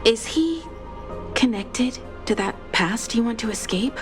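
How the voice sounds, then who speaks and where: A woman playing a composed, caring mentor in a video game, speaking calmly and reflectively. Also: fairly high pitch, measured pace, music in the background. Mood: reassuring, reflective. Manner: calm, reassuring, reflective. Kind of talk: dramatic video game voice acting.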